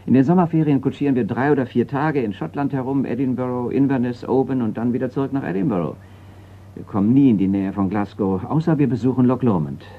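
A man's voice: medium pitch, fast, natural voice. An actor playing a polite, frank insurance man in a radio crime drama, courteous and slightly stiff. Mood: polite, chatty. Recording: slightly muffled radio play recording.

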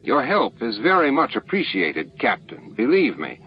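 A man voicing a smooth, authoritative alien leader in an audiobook, formal and commanding. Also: mid-range voice, wildly swooping pitch, normal speed, voice pushed up. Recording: dull, noisy lo-fi book-on-tape recording.

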